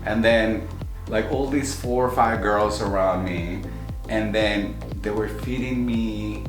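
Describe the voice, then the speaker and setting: Low-voiced man, earnest chat. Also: slow pace, echoey room, over music. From Mexico City. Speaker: co-host. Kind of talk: casual Q&A chat.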